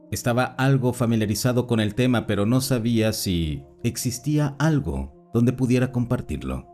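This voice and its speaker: Low-voiced man narrating with lively pitch. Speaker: narrator reading listener stories. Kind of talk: scripted narration of written accounts. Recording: voice-over with faint background music.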